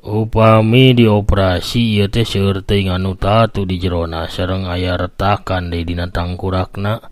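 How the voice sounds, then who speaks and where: Storybook hospital doctor, played by a male narrator: Sundanese, deep, courteous, grave, explains formally with small hesitations. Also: flat delivery, unhurried, dry recording. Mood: matter-of-fact, polite.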